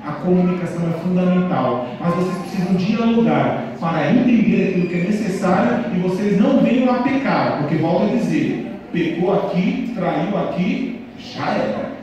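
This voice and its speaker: An emphatic man in his thirties preaches to married couples. His voice is mellow and pitched fairly high. It is heard from far off in a reverberant church hall. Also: expressive, moderate pace. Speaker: couples' speaker. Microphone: handheld mic, far camera.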